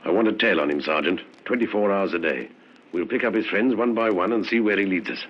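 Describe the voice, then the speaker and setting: An actor playing a shrewd, unhurried middle-aged police inspector in an old radio drama, dry, probing and quietly in command. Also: male voice, sits low. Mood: firm, questioning. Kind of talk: 1950s radio drama acting. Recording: muffled old radio recording.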